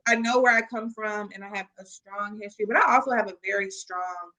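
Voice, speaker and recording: Bright-voiced woman, earnest and reflective. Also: medium pitch, brisk, low-quality recording. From Kansas City, US Midwest. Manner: earnest, reflective, frequent "you know" fillers. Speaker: podcast guest. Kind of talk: casual conversational interview.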